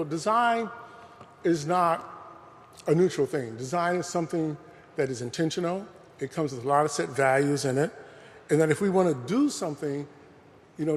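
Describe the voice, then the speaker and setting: A man giving an earnest, conversational public talk, often saying "you know" as he speaks. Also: a little high. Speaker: lecturer presenting a talk. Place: large museum hall. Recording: long hall reverb.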